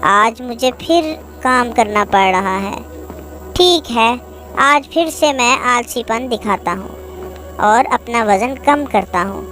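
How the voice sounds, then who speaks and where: A woman voicing a lazy, scheming donkey in a children's storybook tale, with a smug, drawn-out delivery. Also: on the high side, lively intonation, fairly bright tone, soft background music. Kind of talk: animated storybook character acting.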